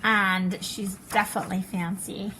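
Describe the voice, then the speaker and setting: A woman hosting a children's read-aloud in an expressive storybook style, with chatty asides. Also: mid-range voice, a little slow, a little hiss or hum, poor audio.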